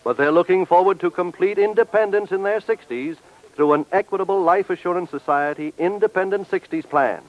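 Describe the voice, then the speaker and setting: A man's voice: high-pitched, brisk. A man announcing on 1940s radio in a formal, persuasive sales style. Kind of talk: 1940s radio sponsor pitch. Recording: muffled old radio recording.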